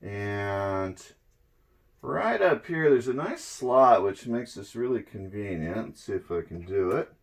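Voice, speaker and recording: A middle-aged man giving a casual, unhurried hands-on demonstration, explaining each step as he goes. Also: on the low side, expressive, vocal fry. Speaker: presenter of electronics demo videos. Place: indoors at a draped table.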